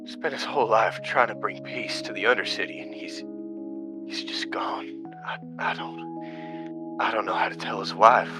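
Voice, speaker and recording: A man playing a grieving, anxious young man in an audio drama, speaking haltingly. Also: lowish voice, loud music behind the voice, close to an everyday voice. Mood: grieving, shaken. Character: grieving, anxious young man searching his boss's house.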